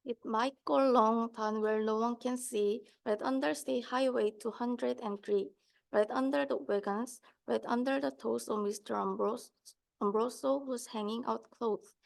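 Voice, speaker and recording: A woman's voice: on the high side, steady tone, measured pace, vocal fry. A young woman student reading a rhyming children's book aloud. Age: young adult. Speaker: student reader.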